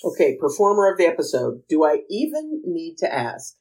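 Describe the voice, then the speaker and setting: Low, husky woman with animated swings. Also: normal speed, poor audio. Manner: enthusiastic, emphatic, repeats herself. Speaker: podcast co-host. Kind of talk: casual, chatty TV recap discussion.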